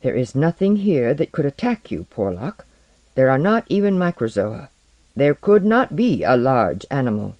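Here is a reader as a repressed, courteous man of science in an audiobook. He speaks in a measured, formal way, grim and held back, in a dry recording. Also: mid-range voice, big pitch swings, no echo, dull audio. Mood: grimly dismissive.

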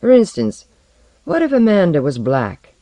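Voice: medium pitch, wildly swooping pitch, a little slow, dark tone, dry recording, voice pushed up. A reader voicing a mild but sour, short-tempered mentor with a dry, matter-of-fact manner. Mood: morose, irritable.

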